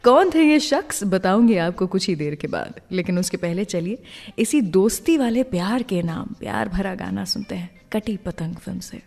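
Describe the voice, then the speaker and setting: A woman's voice: medium pitch, animated, normal speed, vocal fry, darkish tone. A woman hosting a music radio show, telling film trivia in a conversational way and speaking straight to her listeners. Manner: conversational storytelling, addresses listeners directly. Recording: long reverb, music underneath. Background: music bed.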